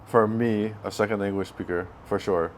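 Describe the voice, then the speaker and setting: A young adult man hosting an interview, talking in an earnest, measured way. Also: lowish voice, fairly even intonation, slight background noise. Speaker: interview host. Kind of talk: casual interview conversation.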